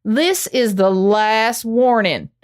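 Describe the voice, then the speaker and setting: A woman's voice: fairly high pitch, takes it slowly. A woman playing a ruthless, hot-tempered young gang boss in a Western radio play, commanding and threatening, with an American accent. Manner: commanding, threatening cowboy drawl.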